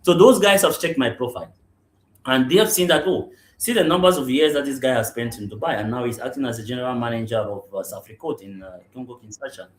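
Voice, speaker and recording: A man's voice: mid-range voice, quick pace. Man from Cameroon, echoey room. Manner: repeats "you understand?" and restarts often. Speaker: guest, real estate general manager. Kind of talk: conversational interview answers. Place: remote call into a live podcast. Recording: roomy echo.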